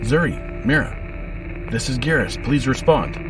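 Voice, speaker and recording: A man's voice: mid-range voice, expressive, measured pace, over music, lightly acted. Man playing a terse, resolute father, slightly distant. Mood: urgent. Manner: terse, commanding. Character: urgent, resolute father calling by radio for daughter. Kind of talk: audio drama acting.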